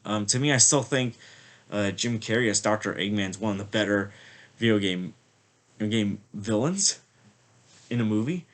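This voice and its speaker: A man's voice: fairly low pitch, lively intonation, normal speed, pauses often. Man, movie reviewer, congested and sniffly, casual rambling talk, lots of fillers. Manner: rambling, many "like" and "I feel like" fillers. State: slightly congested, sniffling from a cold. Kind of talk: casual spoken film review.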